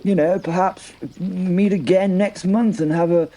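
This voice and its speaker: Man playing a mellow, higher-voiced nervous knight. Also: fairly even intonation, unhurried, poor audio. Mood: nervous confiding. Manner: stammering, hesitant, chuckling. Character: battle-hardened knight, wry, nervous, hard-drinking, confiding.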